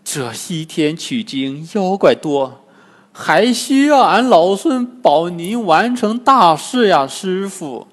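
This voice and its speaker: Guy doing a defiant monkey hero, loyal disciple, high n forceful, storybook style, big reverb. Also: very animated, slow pace, heavily acted. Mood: earnest pleading. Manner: forceful, emphatic declaiming. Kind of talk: dramatised storytelling.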